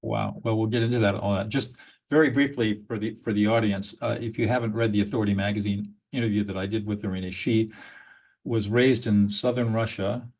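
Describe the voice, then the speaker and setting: A man's voice: on the low side, fairly even intonation, brisk, darkish tone. A man hosting a podcast interview, introducing his guest effusively. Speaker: podcast host.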